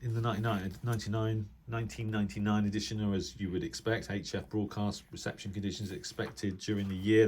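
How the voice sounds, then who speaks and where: A man's voice: on the low side, slight background noise. A man giving casual, informal hobbyist commentary, thinking aloud and correcting himself as he rambles through explanations. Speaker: radio hobbyist vlogger. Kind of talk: casual informal commentary, reviewing a reference book.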